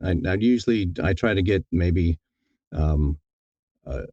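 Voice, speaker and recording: A man's voice: sits low, measured pace, darkish tone, no echo, muffled recording. A man being interviewed as an audiobook narrator, talking casually and thoughtfully, often repeating words as he feels his way along.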